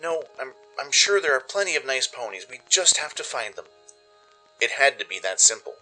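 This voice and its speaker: Man voicing an anxious young pony mare, bright delivery. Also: mid-range voice, big pitch swings, normal speed, pitched above the performer's own voice, rough recording. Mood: uneasy, self-reassuring. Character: young mare pony, anxious, tender-hearted wasteland wanderer. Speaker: narrator and voice performer. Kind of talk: first-person dramatic audio story narration. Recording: music under the voice. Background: music.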